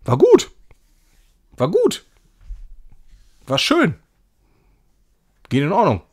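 A man's voice: high-pitched, huge pitch swings, drawn-out words, husky. A man doing casual, jokey live-stream commentary as he plays a game, chatty and self-ironic. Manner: jokey, chatty, self-ironic, slipping into mock-grand English.

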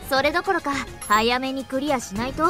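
A woman's voice: high-pitched, fairly quick, over music, lightly acted. An actress playing a haughty, teasing android girl in an anime game, snide and sarcastic in polite wording. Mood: matter-of-fact. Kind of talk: Japanese anime game voice acting.

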